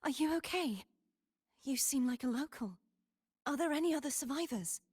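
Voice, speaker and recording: A woman's voice: high voice, lively intonation, moderate pace, darkish tone, no echo. A woman playing a concerned young female rescuer in a video game. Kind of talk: scripted video game dialogue.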